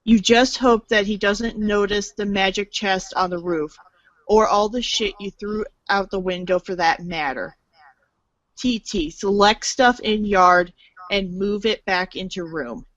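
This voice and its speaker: A woman's voice: medium pitch, measured pace, fairly bright tone, no room sound, lo-fi sound. A woman reading scripted storybook-style narration aloud as a theatrical narrator.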